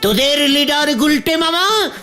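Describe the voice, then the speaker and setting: A woman plays a boastful cartoon village layabout who rules his gang of idle boys. The voice is high and very animated, taking its time with pompous, stilted formal phrases, with music playing behind. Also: measured pace. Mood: gleeful, boastful. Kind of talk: exaggerated comic cartoon acting.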